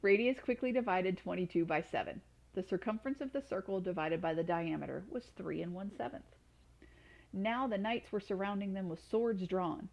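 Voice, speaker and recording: A woman reads a picture book aloud as a storyteller for children. Her voice sits at a medium pitch and her delivery is expressive. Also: normal speed, creaky, rough recording.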